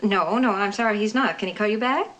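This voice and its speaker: An actress playing a polite, hesitant housewife with a tentative manner in a film drama. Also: female voice, mid-range voice, fast talker, a bit bright, close to an everyday voice. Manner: courteous, tentative.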